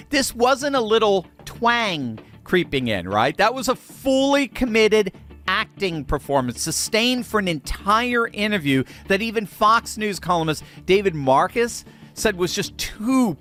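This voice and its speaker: Animated, mocking male commentator. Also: high-pitched. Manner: sarcastic, mocking, laughs between lines. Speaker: political commentator. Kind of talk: commentary on clips.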